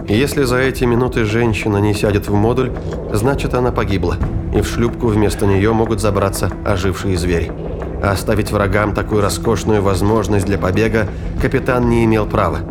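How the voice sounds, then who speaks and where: A man giving scripted dramatic narration as the narrator of an audio drama. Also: on the low side. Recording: loud music behind the voice.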